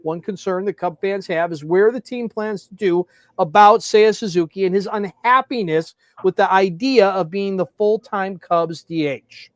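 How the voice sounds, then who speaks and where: A high-pitched man hosts a sports podcast. He speaks conversationally and with animation, often repeating phrases for emphasis, in a very dry-sounding recording. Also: normal speed, few pauses, dry recording.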